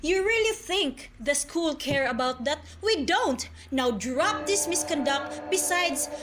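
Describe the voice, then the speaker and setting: A woman's voice: high-pitched, expressive, slow pace. A woman playing a haughty, wicked school director in an amateur radio drama, recorded with noisy sound at home. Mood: scornful.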